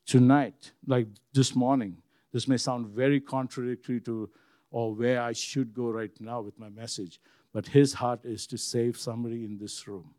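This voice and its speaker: A man with a deep, bassy voice preaching an informal sermon to a room, talking conversationally, repeating himself and asking his listeners questions. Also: little pitch movement, moderate pace, dark tone, no room sound, studio quality. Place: church room with congregation.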